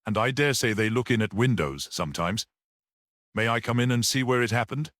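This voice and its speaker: A small priest voiced by a male narrator from an audiobook mystery, grave and courteous. He speaks in a measured, reassuring way with lively rises and falls, recorded very dry. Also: mid-range voice, no echo, natural voice. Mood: calm, reassuring.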